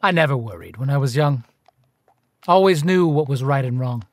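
An actor playing a self-righteous detective in a radio play, questioning in a clipped, insinuating way. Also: male voice, mid-range voice, animated, normal speed, dry recording, natural voice. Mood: needling, accusing. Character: probing, self-righteous male detective, needling a priest. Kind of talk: radio drama acting.

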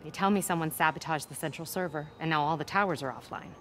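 A woman's voice: low voice, fast talker, fairly bright tone, slight background noise. An actress playing a tough, no-nonsense woman police captain with a dry, curt manner. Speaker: police officer.

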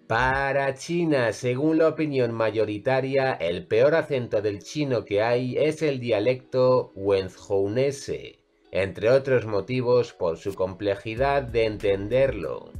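A man reads a scripted voice-over at a very slow, deliberate pace, his voice sitting at an ordinary middle pitch. He moves through each entry in a measured, even way as faint music plays underneath. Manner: measured, evenly read list delivery. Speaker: narrator of ranking videos.

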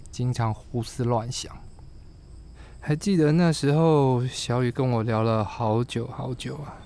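A man's voice: medium pitch, darkish tone, a little hiss or hum. A man acting a patient, weary middle-aged teacher in a radio drama.

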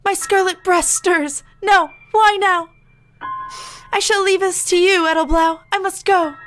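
Woman as young male anime pigeon, self-styled fallen angel: very high voice, grandiose archaic declaiming, music behind. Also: steady tone, a little slow, a bit bright. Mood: tormented. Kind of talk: visual novel voice-over, anime parody.

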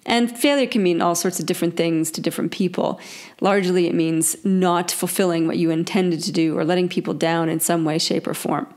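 A woman in her forties with a croaky voice from a cold, hosting her own leadership podcast in a bubbly, upbeat way. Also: fairly low pitch, a little mellow. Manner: bubbly, upbeat, laughs often. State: hoarse from a cold. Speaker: podcast host, leadership coach. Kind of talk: casual solo podcast talk.

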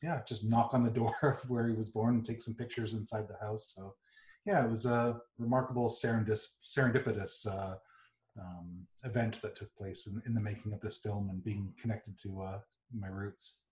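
A man in his forties, a film director answering interview questions over a video call, halting with many fillers. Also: lowish voice, steady tone, darkish tone. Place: home office, indoors. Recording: roomy video call audio.

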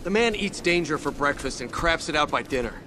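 A man's voice: a little high, animated, brisk, pushed and bright, a little hiss or hum. An actor playing a brave, wry American boss and survivor in a video game drama, with urgency in his delivery. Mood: wry, tense.

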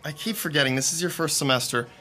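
A man's voice: a little high, fast talker, sharp tone, faint music behind. A man acting a friendly, chatty theatre techie telling anecdotes in an audio drama. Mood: amused storytelling. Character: Rick, chatty friendly young male theatre techie storyteller.

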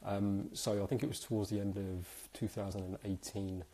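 Hoarse, upbeat man hosting a live stream. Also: on the low side, little pitch movement, moderate pace, mellow. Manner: chatty, upbeat, many fillers. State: hoarse from a cold. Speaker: host. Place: indoors, small room.

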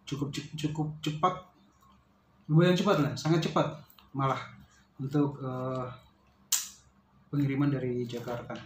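A man's voice: mid-range voice, fairly even intonation. Male vlogger, casual unscripted explainer, hesitant delivery, echoey room with slight noise. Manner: hesitant, pausing to search for words. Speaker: vlogger reviewing a product. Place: small bare-walled room indoors. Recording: roomy echo, faint background noise.

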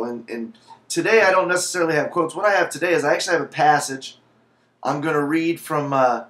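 A man's voice: mid-range voice, fairly bright tone. A man in his thirties speaking as a video blogger into a webcam microphone in a small home office. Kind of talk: casual vlog talk with passage read aloud. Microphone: webcam microphone at desk distance. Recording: roomy, echoey webcam sound.

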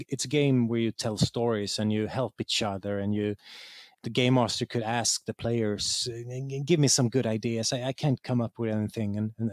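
A man's voice: mid-range voice, dry recording, studio quality. Hesitant Swedish man in an interview. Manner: hesitant, repeats words as he searches for phrasing. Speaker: interview guest, game writer. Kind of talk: conversational interview, explaining and storytelling.

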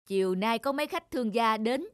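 A woman's voice: on the high side, expressive, a little fast, no echo, studio quality, natural voice. A woman playing a scheming middle-aged stepmother in a cartoon, nagging and accusing in a sneering manner.

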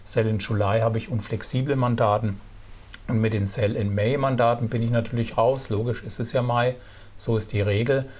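A middle-aged man giving casual stock market commentary to camera, relaxed and halting, with many fillers and restarts. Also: mid-range voice. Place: indoor studio with virtual backdrop.

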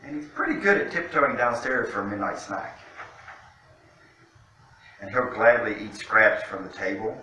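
Middle-aged male storytime reader, bright forceful tone, recorded well away from the mic. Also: fairly low pitch, expressive, moderate pace, long pauses. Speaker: guest storytime reader, city official. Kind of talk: reading a picture book aloud to children. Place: indoor room, seated by a fireplace mantel. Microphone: distant camera microphone. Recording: very echoey room, distant mic, faint noise.

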